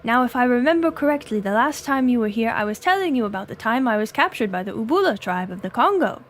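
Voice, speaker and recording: A woman voicing a pompous, blustering gentleman explorer who is really a sea slug, with an attempted British accent. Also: a little high, expressive, fast talker, lightly acted. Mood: jovial welcoming. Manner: pompous, declamatory, theatrical.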